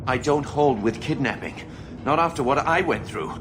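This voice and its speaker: A man's voice: mid-range voice, lively intonation, normal speed, noisy background, natural voice. A man playing an anxious, earnest rebel conspirator in a video game drama, pleading and defensive. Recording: loud music behind the voice.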